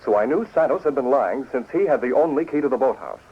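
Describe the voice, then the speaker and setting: An actor playing an assured, shrewd crimefighter in a 1940s radio drama. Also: male voice, mid-range voice, lively intonation, fast, slightly rough. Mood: explaining. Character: assured, shrewd male masked crimefighter and publisher. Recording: dull 1940s radio recording.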